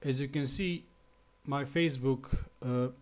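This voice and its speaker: A man from Slovenia with a Slovenian accent, speaking English as a second language in a halting screencast tutorial with fillers and restarts. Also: medium pitch, steady tone. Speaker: web developer.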